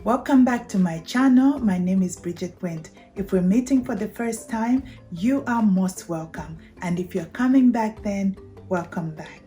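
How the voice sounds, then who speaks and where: Middle-aged Zambian woman, channel host, warm dark timbre, structured deliberate delivery over light background music. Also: mid-range voice. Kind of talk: prepared explainer talk to camera.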